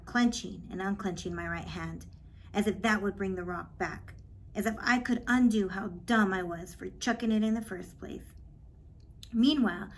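Slow-paced woman reading a story aloud. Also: mid-range voice, a little hiss or hum. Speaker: reader of a children's book. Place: small cluttered office.

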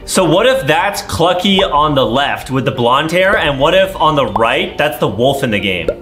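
Highish-voiced young male gaming co-host. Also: expressive, normal speed, a bit bright. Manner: agreeable, amazed reactions. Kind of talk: casual gameplay reactions. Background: faint game music.

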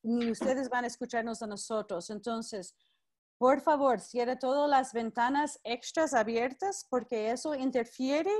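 A woman's voice: fairly high pitch, measured pace, a little mellow. A woman leading a live online class over a video call, greeting participants by name and giving friendly instructions.

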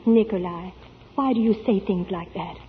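An actress playing a weary, melancholy opera singer in a subdued, wistful manner, in 1940s radio drama style. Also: female voice, medium pitch, moderate pace, a little hiss or hum, lightly acted. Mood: listless. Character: weary opera diva recalling her past, melancholy, listless. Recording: tinny 1940s radio broadcast, music behind.

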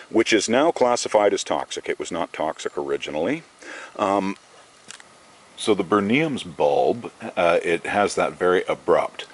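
A man foraging outdoors in a shady forest, explaining his finds to a handheld camera in a relaxed, rambling way with many ums and restarts. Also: deep voice. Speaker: foraging vlogger. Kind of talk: casual show-and-tell narration.

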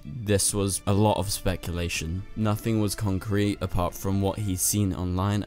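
A young man with a hoarse, worn-out voice, hosting a chatty commentary video and talking straight to his viewers. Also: low voice. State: lost his voice. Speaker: channel host. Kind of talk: casual commentary to camera. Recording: soft music underneath.

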